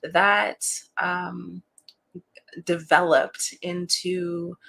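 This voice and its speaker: A woman in her thirties, a voice actor, chatting as an interview guest in a relaxed way, laughing often and animated when she quotes others. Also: lowish voice, extremely slow, vocal fry. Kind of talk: casual interview chat.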